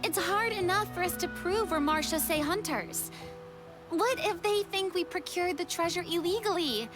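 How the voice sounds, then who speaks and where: A woman's voice: extremely high pitch, moderate pace, over music. An actress voicing a bubbly but wary young woman in a video game.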